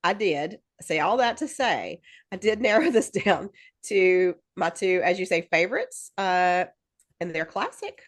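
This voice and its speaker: Woman on a podcast mic, kinda low voice, chatty and rambly, lots of ums, laughs a lot. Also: big pitch swings, unhurried. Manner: rambling, many fillers and restarts; laughs easily. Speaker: podcast co-host. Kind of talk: casual chat.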